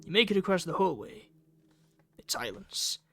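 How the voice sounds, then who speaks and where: A man's voice: high-pitched, big pitch swings, moderate pace, fairly bright tone. A man reading a visual novel game's narration and dialogue aloud, stumbling over lines and correcting himself.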